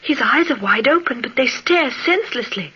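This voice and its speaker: An actress playing an anxious, tender young sweetheart in a melodramatic 1940s radio style. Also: female voice, sits high, animated, moderate pace, pushed and bright, slightly rough, exaggerated voice. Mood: frightened. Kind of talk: 1940s radio drama acting. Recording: noisy, dull old radio recording.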